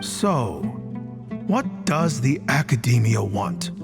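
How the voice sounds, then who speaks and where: A man's voice: mid-range voice, very animated, slow pace, gravelly, exaggerated voice. A man voicing a proud, greedy and menacing desert tribe chief in a video game, with an imperious, wary delivery. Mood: wary, haughty. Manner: imperious, threatening, bargaining. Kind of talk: game cutscene voice acting. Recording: plucked-string music under the voice. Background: plucked string music.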